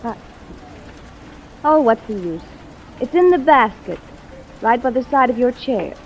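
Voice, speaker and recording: A woman's voice: on the high side, lively intonation, unhurried, dull audio. A woman playing a breezy, flirtatious, amoral femme fatale stunt performer in a 1940s radio drama. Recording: noisy old radio recording.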